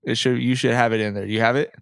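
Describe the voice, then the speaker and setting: A man's voice: medium pitch, steady tone, quick pace, creaky, a bit bright, clear, resonant tone, dry recording. An American man hosting a podcast, moving between casual banter and mock-dramatic reading. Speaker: podcast host.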